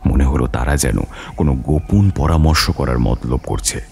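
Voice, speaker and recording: A man's voice: extremely low pitch, normal speed, vocal fry, darkish tone, slight background noise. A man narrating an adventure story as a scripted, dramatic audio tale.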